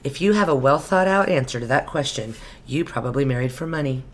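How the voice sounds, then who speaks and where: A man voicing a wry commentator in a breathy, barely audible whisper for comedy. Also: mid-range voice, animated, a little fast, voice pushed up, lightly acted. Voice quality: breathy, hushed.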